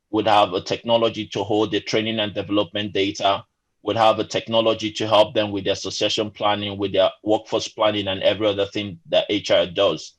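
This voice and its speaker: A man in his forties, originally from Nigeria, answering interview questions earnestly over a remote call, with many fillers and restarts. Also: fairly low pitch. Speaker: podcast guest. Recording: muffled lo-fi remote call audio.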